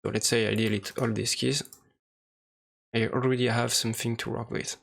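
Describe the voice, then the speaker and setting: A man presenting an unscripted software tutorial, speaking hesitantly in short, halting phrases. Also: mid-range voice, little pitch movement, creaky. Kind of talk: unscripted screen-recorded tutorial walkthrough. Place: voice-over for a screen recording.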